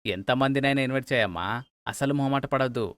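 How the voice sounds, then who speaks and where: A man's voice: mid-range voice, fast, fairly bright tone, clean tone, lightly acted. A man voicing a middle-aged father who indulges his favourite, emphatic and paternal, in a cartoon moral story. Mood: doting, proud.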